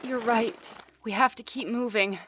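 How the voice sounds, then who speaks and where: A woman's voice: a little high, steady tone, measured pace, fairly bright tone, soft background music. An actress playing an anxious, earnest young female spellcaster in a fantasy audio drama. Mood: troubled.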